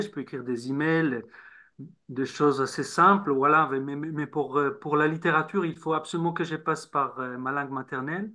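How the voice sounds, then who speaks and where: Halting man. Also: fairly high pitch, pushed and bright. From Israel. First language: Hebrew. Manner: halting, repeats words while searching. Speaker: novelist guest. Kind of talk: interview answers.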